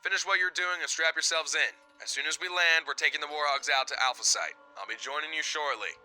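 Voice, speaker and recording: A man's voice: on the high side, a little fast, sharp tone, faint music behind, poor audio. A man playing a decisive military captain in an audio drama, giving clipped, authoritative orders. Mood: brisk, commanding. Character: commanding male military captain, brisk and decisive.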